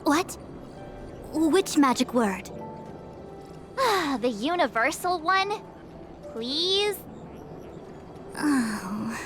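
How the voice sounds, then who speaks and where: High, slow, melodramatic young woman. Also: sing-song, music in the background, full character voice. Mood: mock gloom, teasing. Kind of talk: scripted video game dialogue.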